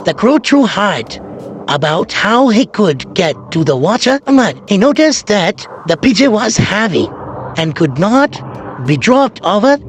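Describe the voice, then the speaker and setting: A man's voice: sits high, big pitch swings, measured pace. A man narrating a children's fable, recorded with noticeable background noise. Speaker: storyteller.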